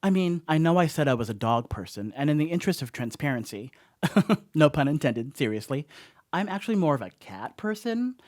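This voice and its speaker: A young house sitter confessing in a radio play. His voice sits a little high for a man. He rambles conversationally, excusing himself, with emphatic swings as his nerves show. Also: darkish tone. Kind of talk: dramatic first-person radio play monologue.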